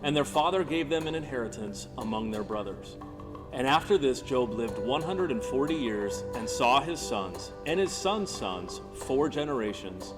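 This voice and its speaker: A man's voice: medium pitch, animated, normal speed. Man narrating scripture over music. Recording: reverberant hall sound with music behind. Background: clear instrumental music.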